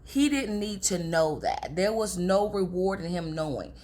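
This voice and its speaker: A young woman with a raspy, hoarse voice, chatting casually to her viewers about relationships. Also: on the low side, animated, measured pace, darkish tone. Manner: chatty, confiding, addresses viewers as girls. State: hoarse from talking all day. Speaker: relationship advice vlogger. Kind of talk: casual advice talk to camera.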